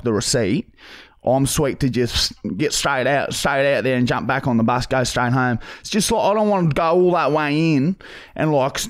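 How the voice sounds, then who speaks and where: A man's voice: mid-range voice, lightly acted. A man in his thirties with an Australian accent playing an aggrieved, dim-witted bogan customer on a prank call, rambling and repeating himself in slang. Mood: wheedling, aggrieved. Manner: repetitive, rambling, slangy, sweary. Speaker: podcast host making a prank call. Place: podcast studio. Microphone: close boom-arm podcast microphone.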